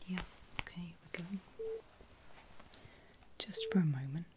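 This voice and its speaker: A woman speaking in a soft, breathy whisper, gently reassuring the listener as a medical carer in an ASMR roleplay. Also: fairly low pitch, measured pace, heavy background noise.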